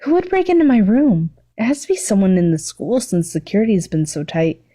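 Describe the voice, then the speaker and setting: A woman's voice: mid-range voice, lively intonation, brisk, mellow, natural voice. A woman voicing an earnest, anxious, stammering teenage boy hero in a story reading. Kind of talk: fan fiction read aloud.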